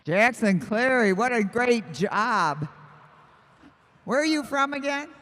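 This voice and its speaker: A man doing a quick, joking trackside interview with a race winner. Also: very high-pitched, animated, a bit bright.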